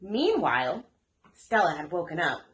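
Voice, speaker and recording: A woman reading a children's story aloud as an expressive storyteller. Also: on the low side, moderate pace, a little hiss or hum. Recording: echoey room.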